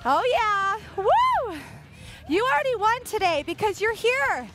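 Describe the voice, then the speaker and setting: A woman's voice: very high-pitched, very animated, unhurried. A woman fitness instructor giving encouraging, motivational workout coaching. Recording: music behind, played from a workout video.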